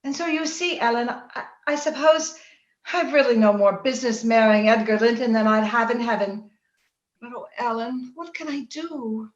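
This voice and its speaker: A woman playing a proud, willful young gentlewoman torn by romance, theatrical and emotional in old-time radio drama style. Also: fairly high pitch, moderate pace, close to an everyday voice. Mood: wistful, torn. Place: indoor room, recorded remotely over video call. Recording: roomy, dull video-call recording.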